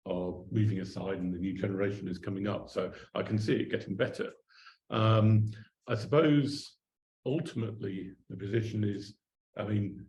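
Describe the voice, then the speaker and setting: A man's voice: on the low side, mellow, reverberant, a little hiss or hum, poor audio. A man answering as a panelist at a conference, wry and thoughtful, often hedging his points. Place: conference room.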